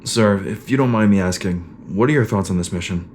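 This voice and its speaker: A man's voice: fairly low pitch, a little fast, a little mellow, a little hiss or hum, close to an everyday voice. A man playing a determined, questioning soldier who leads a squad of shock troopers in a sci-fi audio drama. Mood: earnest, questioning.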